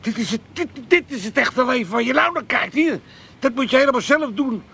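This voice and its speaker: A man's voice: very high-pitched, expressive, a little fast, sharp tone, slightly rough, exaggerated voice. An actor playing a meddling, bossy old father in a comedy radio play, blustering and repeating himself. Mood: blustering, dismissive. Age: older. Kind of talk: 1980s Dutch comedy radio play acting.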